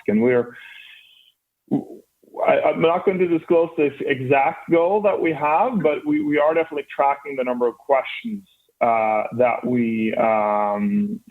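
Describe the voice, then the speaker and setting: A man in his thirties from Norway with a faint Norwegian accent, a startup founder answering interview questions earnestly, with many restarts. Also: mid-range voice. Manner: earnest, with frequent restarts and fillers. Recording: band-limited phone line.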